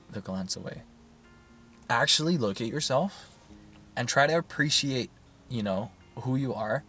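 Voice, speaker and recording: A man talking in a soft, soothing voice in a casual rambling monologue, full of fillers and restarts. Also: mid-range voice. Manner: soft-spoken, meandering, many fillers and restarts. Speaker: YouTuber.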